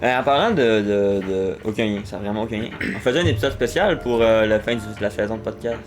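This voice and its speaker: A man's voice: lowish voice, quick pace, music in the background. A man co-hosting a podcast, casual, with teasing dry asides. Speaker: podcast co-host. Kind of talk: casual chat.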